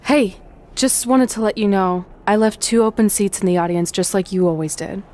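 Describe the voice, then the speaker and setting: A woman's voice: medium pitch, moderate pace, darkish tone, lightly acted. A woman playing a determined, loyal pro wrestler in a video game cutscene. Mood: resolute.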